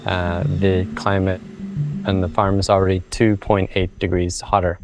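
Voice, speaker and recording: A man's voice: deep voice, level tone, moderate pace, music in the background. A man in his thirties with an American accent, speaking reflectively as a farmer in a documentary interview. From United States (born in Brazil, raised in the US). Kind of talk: documentary interview, explanatory storytelling.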